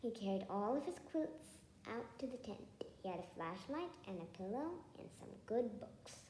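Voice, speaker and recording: Animated woman reading a children's story. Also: medium pitch, moderate pace. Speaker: storybook reader. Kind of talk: children's story read-aloud. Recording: echoey room, faint background noise.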